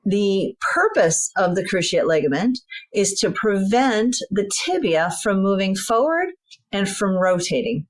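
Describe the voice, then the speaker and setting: A woman's voice: mid-range voice, sing-song, measured pace. Woman in her fifties explaining things patiently. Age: 50s. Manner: teaching with a model, pointing things out step by step. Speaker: veterinary sports medicine guest. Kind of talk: explanatory interview talk.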